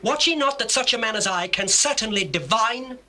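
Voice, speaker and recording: An imperious governor of ancient Egypt in a scripture drama. The voice is high and ringing, with sweeping intonation, declaiming archaic lines as commands. It sits on a noisy old cassette recording. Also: male voice, lively intonation, moderate pace, sharp tone, heavily acted. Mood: stern, imperious. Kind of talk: dramatized scripture, stage acting.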